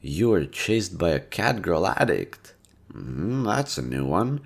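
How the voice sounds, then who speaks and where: A young man in an audio roleplay, talking to a listener in a soft-spoken, laid-back and teasing way. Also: low voice, big pitch swings, slow pace, vocal fry. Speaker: voice actor.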